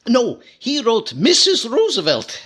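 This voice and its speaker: A man's voice: very high voice, huge pitch swings, measured pace, heavy background noise, full character voice. A man playing a jolly, chatty, boastful comic fellow in old-time radio comedy style. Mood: proudly boastful.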